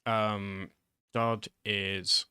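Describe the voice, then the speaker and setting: A man's voice: lowish voice, level tone, extremely slow, pushed and bright, smooth, no room sound. A man giving an informal, improvised lesson in a hesitant, rambling, self-deprecating way, close to the microphone. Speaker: online video host.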